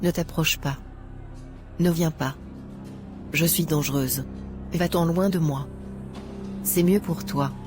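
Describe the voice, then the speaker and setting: A woman's voice: sits low, steady tone, takes it slowly, long pauses, over music. A woman voicing a devout, insecure single woman in her thirties, earnest and guarded, in a cartoon. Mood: warning.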